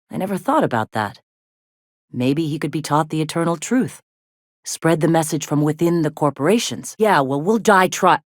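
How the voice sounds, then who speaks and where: An actress playing a weary, plain-spoken rebel lieutenant turned leader in a video game, with a blunt, folksy, colloquial manner. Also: female voice, sits low, no room sound, studio quality. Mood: firm, pensive, then reluctant, resolved. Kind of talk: scripted game dialogue.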